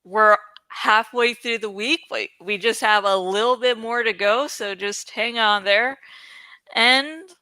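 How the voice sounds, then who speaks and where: Bright, clear-voiced woman chatting to viewers. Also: on the high side, takes it slowly, no room sound. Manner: rambling, playful, self-deprecating, giggly. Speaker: YouTuber who reads books aloud. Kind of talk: casual, chatty vlog talk to viewers.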